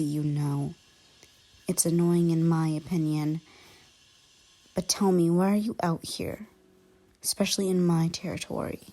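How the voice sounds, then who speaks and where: A woman acting an irritable, aloof lone female werewolf in an ASMR roleplay, curt and scornful, her soft voice often slipping into whispers. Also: on the low side, close to an everyday voice. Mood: grudging, scornful. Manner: curt, scornful, exasperated sighing.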